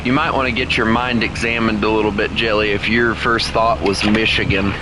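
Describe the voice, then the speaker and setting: A man's voice: lowish voice, level tone, a little fast, background noise. A young man streaming a game, chatting and teasing viewers in a joking way that flares into exasperation. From Southern United States. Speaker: gaming streamer. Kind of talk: casual live-stream chat with viewers.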